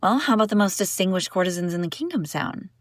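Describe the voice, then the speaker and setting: A woman playing a sharp-tongued young princess bride who is secretly lovesick, in an intimate audio roleplay, teasing and indignant with sighs and broken-off phrases. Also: medium pitch, expressive, quick pace, dry recording, studio quality, close to an everyday voice. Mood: indignant, proud. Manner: teasing, indignant, sighing, breaking off mid-sentence. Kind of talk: intimate scripted audio roleplay addressed to listener.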